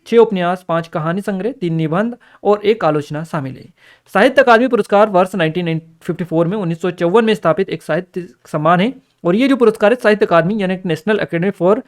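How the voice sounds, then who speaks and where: High-pitched male exam teacher. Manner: instructional, repeats key points, addresses students directly. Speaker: exam-prep teacher and channel host. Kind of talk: explanatory lesson, partly read from notes.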